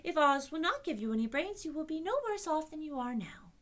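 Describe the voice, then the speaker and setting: A polite, eager little girl, as a woman narrator puts her on. Her voice lifts high and bright, kind and earnest, with lively swoops of pitch. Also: fairly quick.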